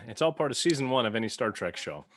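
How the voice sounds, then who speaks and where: A man co-hosting a casual podcast, speaking haltingly and rephrasing as he goes. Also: mid-range voice, sing-song, dry recording. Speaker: podcast co-host and player.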